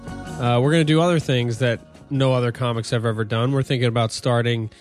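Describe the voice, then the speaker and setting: A man with a nasal voice co-hosting a casual comedy podcast in an apartment, making deadpan, ironic jokes. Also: medium pitch, fairly quick, faint music behind. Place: apartment room.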